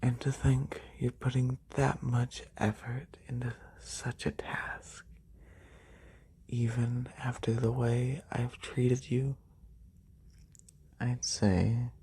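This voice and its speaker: Male actor as wealthy lamia aristocrat, haughty, whispered ASMR roleplay, gravelly creak, mellow soft tone, close binaural mic. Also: mid-range voice, little pitch movement, full character voice. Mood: pleased, teasing. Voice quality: breathy, whispery. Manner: slow, haughty, deliberate. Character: haughty, wealthy lamia noble testing a new servant. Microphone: close binaural microphone.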